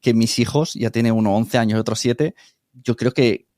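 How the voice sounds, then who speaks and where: Man, middle-aged, accent from Spain, medium pitch, dry recording, informal, conversational interview guest. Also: normal speed, darkish tone, dry recording. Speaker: podcast producer, interview guest.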